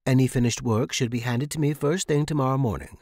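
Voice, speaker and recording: A man voicing a stern, bossy landlady with a loud, commanding manner in a horror story. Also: mid-range voice, brisk, dry recording, studio quality, lightly acted. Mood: firm, commanding. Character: stern, bossy woman running a writers' house.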